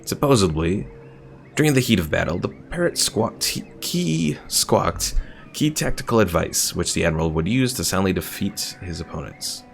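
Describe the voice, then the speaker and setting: A man's voice: low-pitched, big pitch swings, moderate pace, creaky, soft background music. A man streaming a strategy game, chatting casually, rambling about the lore and reading viewers' messages aloud. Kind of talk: casual gameplay commentary.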